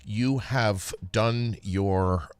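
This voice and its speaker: A man's voice: on the low side, drawn-out words, clear, resonant tone, no echo. A man co-hosting a news show, giving conversational commentary with hesitant phrasing and repeated words for stress. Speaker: radio news co-host.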